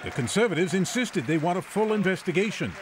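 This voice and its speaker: A man's voice: sits high, lively intonation, quick pace, a loud crowd, very noisy. A man delivering a scripted news report as a political correspondent.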